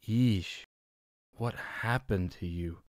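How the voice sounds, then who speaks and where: A coarse, fawning henchman, voiced by a man in an audio drama, speaking very slowly and deliberately. The voice is mid-pitched and recorded dry and close. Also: darkish tone, no echo. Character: crude, fawning male henchman.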